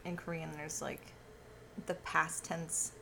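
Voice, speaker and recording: A woman's voice: low voice, little pitch movement, slow, vocal fry, crowd noise. A woman co-hosting a podcast in a casual chat, rambling, correcting herself and laughing at herself. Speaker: podcast co-host.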